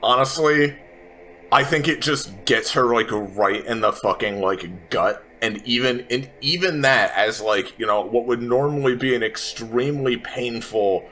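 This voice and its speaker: A man's voice: mid-range voice, a little slow, pushed and bright, slight background noise. A man playing a tabletop game, describing his character's actions in casual, emphatic, piecemeal phrases.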